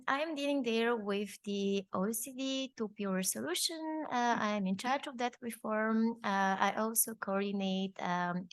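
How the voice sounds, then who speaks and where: Measured woman on a conference panel, dry sound. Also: fairly high pitch, dry recording. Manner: measured, formal, with frequent hesitations. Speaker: panelist, tax policy advisor.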